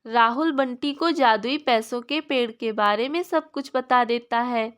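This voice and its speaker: A woman's voice: fairly high pitch, a little slow, a bit bright, smooth. A woman narrator reading a scripted children's story.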